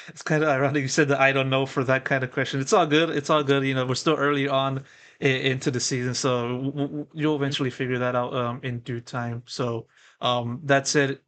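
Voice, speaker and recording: Man interviewer, wordy questions, lots of ums and uhs, medium pitch. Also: fairly bright tone. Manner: wordy, filler-heavy questions.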